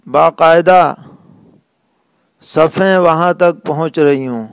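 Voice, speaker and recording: A man who speaks as an Islamic scholar, answering religious questions in a measured, deliberate way with pauses mid-sentence. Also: on the high side, clean tone, no room sound. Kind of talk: religious question-and-answer lecture in plain rulings.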